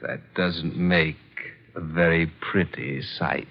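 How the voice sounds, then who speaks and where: Very deep, grave masked stranger. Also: male voice, fairly even intonation, slow pace, vocal fry, exaggerated voice. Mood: grave, ominous. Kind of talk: 1940s radio drama acting. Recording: dull vintage radio broadcast recording.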